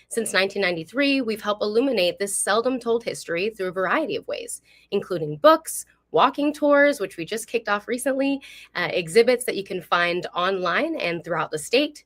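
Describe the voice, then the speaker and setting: A woman's voice: mid-range voice, fairly bright tone. A woman presenting as the host of a history program, reading a scripted introduction in a welcoming, upbeat manner on a live online stream.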